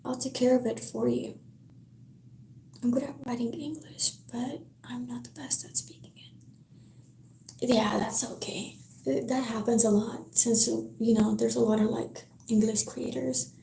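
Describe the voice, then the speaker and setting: Slow, soft-spoken woman with a French accent. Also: fairly high pitch, fairly even intonation, creaky, a little hiss or hum. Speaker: livestreamer reading a novel aloud. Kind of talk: casual live-stream chat and book reading. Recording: roomy echo.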